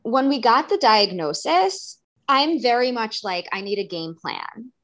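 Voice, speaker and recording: A woman, speaking as a guest in a candid interview, earnest and animated, acting out bits of dialogue as she talks. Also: on the high side, unhurried. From New York. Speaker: guest, daughter and caregiver.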